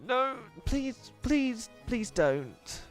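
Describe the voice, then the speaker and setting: A man's voice: very high voice, sing-song, very slow, faint music behind, voice pushed up, exaggerated voice. A man voicing a shy, timid young girl who stammers and hesitates.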